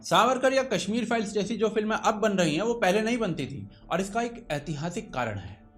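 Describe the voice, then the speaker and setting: A man's voice: high voice, very animated, a little fast, faint music behind. A man with an Indian accent presenting a scripted explainer as host, in an emphatic, opinionated storytelling manner. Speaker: host of a video essay.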